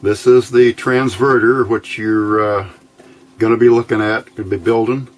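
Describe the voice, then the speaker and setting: A man's voice: lowish voice, fairly even intonation, normal speed, a little hiss or hum. A man presenting an informal hands-on electronics build, talking in a folksy, plainspoken way as he thinks aloud.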